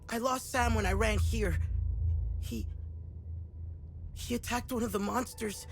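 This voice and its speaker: A small, frightened boy caught in a war. The voice is fairly high and slow, halting and timid, with an earnest, trusting tone. It is dramatic video game dialogue. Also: faint music behind. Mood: frightened, sad.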